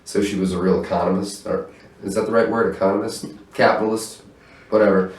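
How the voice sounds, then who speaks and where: A man's voice: low voice, steady tone, a little fast. Chatty man, far off in a roomy space. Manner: loose and chatty, swears freely, laughs often. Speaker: stage manager interviewed as guest. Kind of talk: casual interview chat. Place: his own apartment room, indoors. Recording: roomy echo, voice far from mic.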